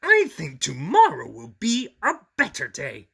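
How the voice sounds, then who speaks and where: A high-pitched little storybook monster boy, plaintive and whiny. The voice swings wildly up and down, with a bright, creaky edge. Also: huge pitch swings, a little slow, heavily acted. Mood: hopeful. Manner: plaintive, exaggerated complaining.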